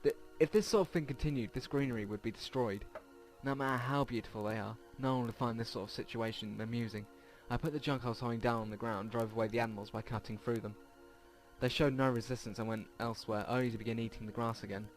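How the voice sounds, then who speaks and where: A man with a medium-pitched voice reads visual novel text aloud as a let's play host. He trips over and repeats words here and there. Game music plays behind him. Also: fairly even intonation, fast talker. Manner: stumbles and repeats words while reading.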